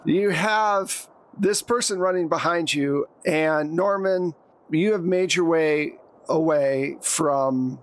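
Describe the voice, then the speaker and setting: A woman's voice: low-pitched, slow. A woman running a tabletop role-playing game, narrating scenes and addressing her players directly in a conversational way. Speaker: game master. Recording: noticeable background noise, room ambience.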